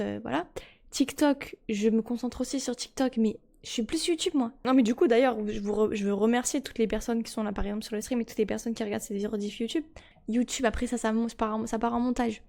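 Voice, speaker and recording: A woman's voice: fairly high pitch, a little mellow. A young woman, chatting casually and rambling as she streams a game, often correcting herself. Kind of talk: casual chat while gaming.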